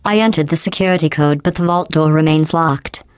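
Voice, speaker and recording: A cold, sarcastic female AI computer, voiced by a woman, talks to her test subjects in a flat, condescending, passive-aggressive tone. Her synthetic-sounding voice sits low and comes out smooth and clean. The game recording is dry and close, with no room sound. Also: fairly quick, dry recording, natural voice. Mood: deadpan. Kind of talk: video game voice clips.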